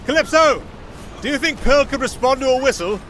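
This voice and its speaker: Roguish young musician played by a man, higher-pitched, wry and glib, fantasy roleplay with loud background ambience. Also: lively intonation, brisk, a little hiss or hum. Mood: urgent calling. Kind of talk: fantasy audio roleplay acting.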